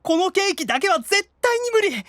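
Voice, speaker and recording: A man playing a whiny, excitable young demon otaku in an anime audio drama, dramatic and easily flustered. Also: extremely high pitch, moderate pace, dry recording, clean studio sound, exaggerated voice. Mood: flustered, protesting.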